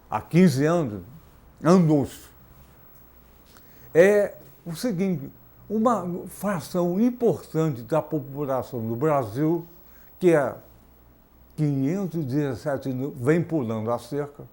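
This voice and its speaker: A male talk-show host, unhurried and fond of jokes. His voice sits a little high for a man, and he speaks in a playful, teasing way in a studio. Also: dark tone. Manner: playful, joking, slow and drawn out. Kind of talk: casual TV interview. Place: university TV studio.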